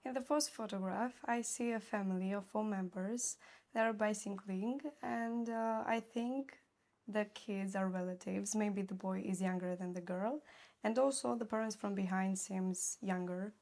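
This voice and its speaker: A woman's voice: medium pitch, steady tone, measured pace, a little mellow. A young woman from Romania with a Romanian accent, giving short, plain answers as an exam candidate.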